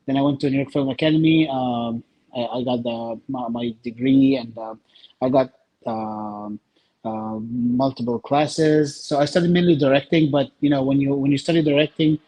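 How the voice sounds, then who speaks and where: A man with an Egyptian accent giving casual interview answers in short, halting phrases with many pauses. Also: mid-range voice, lively intonation, gravelly, dark tone, low-quality recording. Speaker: interview guest, filmmaker.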